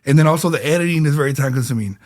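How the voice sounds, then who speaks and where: A man's voice: a little high, racing. Easygoing man chatting, repeating himself. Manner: relaxed, repeats himself, many fillers. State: a bit under the weather. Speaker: podcast co-host. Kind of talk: casual podcast chat.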